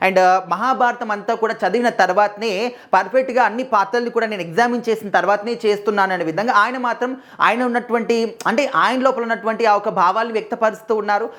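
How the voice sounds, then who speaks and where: She is a woman delivering film news commentary. Her voice sits fairly high and she moves through her sentences at a rapid clip. The delivery is conversational but emphatic, circling back over phrases as she explains. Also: fairly bright tone. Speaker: presenter of film news videos.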